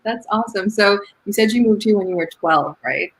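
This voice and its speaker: A woman's voice: mid-range voice, a little fast, poor audio. A woman hosting a casual online interview show, upbeat and encouraging with her guest, on a video call mic. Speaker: podcast host.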